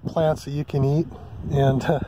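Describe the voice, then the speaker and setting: Male vlog host, slightly high voice, laid-back and rambling, recorded outdoors with faint background noise. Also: measured pace. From Hawaii. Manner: relaxed, rambling, many ums and uhs. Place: open mountain meadow campsite outdoors.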